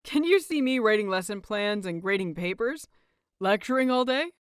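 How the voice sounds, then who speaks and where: A woman voicing a playful, excitable young husband in an audio story, chatty, teasing and self-deprecating. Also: medium pitch, moderate pace, clear, resonant tone, no room sound, studio quality, natural voice. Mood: amused disbelief.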